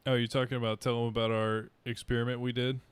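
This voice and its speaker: A man's voice: medium pitch, level tone, fast talker, clear, resonant tone, no echo. A man co-hosting a casual podcast, chatting in an easygoing, upbeat way. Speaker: podcast co-host.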